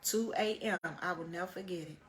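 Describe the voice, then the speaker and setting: A woman with a loud voice, casually telling a personal story in a profane, animated way and repeating phrases for emphasis. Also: fairly low pitch, fairly quick.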